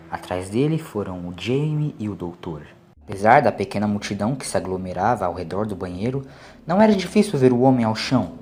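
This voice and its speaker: Male audiobook narrator, Brazilian accent, medium pitch, scripted storytelling, noisy ambient backdrop. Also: a little mellow.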